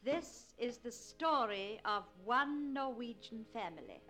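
A woman's voice: on the high side, animated, fairly bright tone. A woman announcer formally introducing a 1940s radio programme. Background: music.

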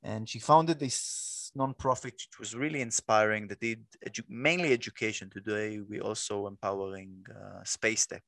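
Gravelly Israeli man, halting speech. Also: lowish voice, steady tone, a bit bright, no room sound. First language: Hebrew. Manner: halting, many restarts. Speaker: guest, nonprofit leader. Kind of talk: podcast interview.

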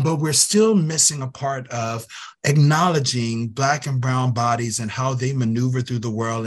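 A man speaking as an interview guest, earnest and emphatic, repeating phrases to drive his point home. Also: mid-range voice. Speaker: guest, youth program director. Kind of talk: conversational interview.

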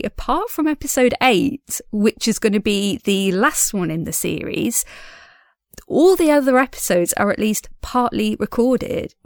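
A woman's voice: mid-range voice, no echo, clean studio sound. A woman speaking in a casual audio diary, chatty and a little tentative, with a self-effacing manner. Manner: giggles often while talking. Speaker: podcast diarist and audio drama creator.

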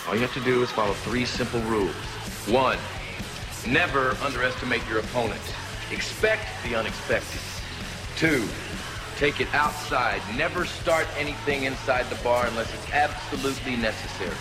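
A man doing a gruff bar bouncer. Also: mid-range voice, normal speed, over music, pitched below the performer's own voice, natural voice. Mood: stern. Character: gruff tough male bar bouncer laying down rules.

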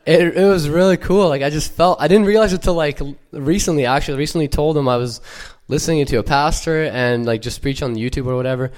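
A young man from Ukraine with no accent, giving a halting, earnest personal church testimony full of fillers and restarts. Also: a little high, a little mellow.

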